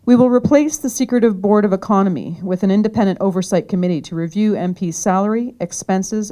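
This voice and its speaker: A woman's voice: medium pitch, warm tone. A woman candidate giving an earnest, prepared campaign speech, reading from notes. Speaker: political candidate. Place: community hall.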